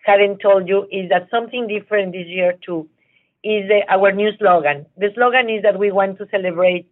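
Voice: mid-range voice, steady tone, normal speed. Person on a tinny phone line. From Mexico. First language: Spanish. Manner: earnest, searching for words. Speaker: guest representing an award show.

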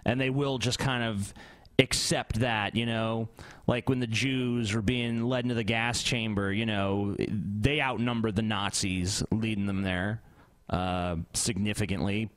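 A man's voice: lowish voice, fairly even intonation, unhurried, few pauses, fairly bright tone, dry recording. Blunt man, dry close sound. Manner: blunt, sarcastic. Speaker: podcast host. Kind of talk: casual, profane podcast chat.

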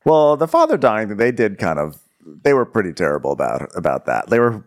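Man, podcast co-host, wry, animated pitch, joking recap chat. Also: brisk. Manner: wry, acts out quotes.

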